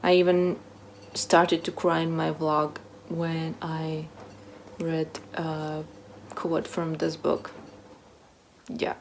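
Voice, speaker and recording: A woman with a fairly low voice chats casually as a book vlogger. She speaks slowly and haltingly, often letting phrases trail off softly, with some background noise in the recording. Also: completely flat delivery. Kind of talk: casual chat to camera, answering a book tag.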